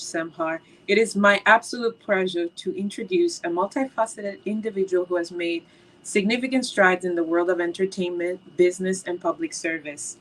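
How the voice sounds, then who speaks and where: A woman with a lowish voice reads a formal introduction over a video call. Her delivery is polished and praising, paced like prepared remarks. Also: fairly bright tone, poor audio. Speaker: event co-host introducing a speaker. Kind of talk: read introduction.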